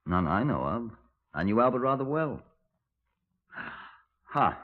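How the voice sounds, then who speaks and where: An actor playing a world-weary middle-aged police superintendent in a 1950s radio crime drama, speaking in a dry, deliberate, formal way. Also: male voice, medium pitch, big pitch swings. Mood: musing. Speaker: detective and narrator. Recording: muffled, narrow-band 1950s radio recording.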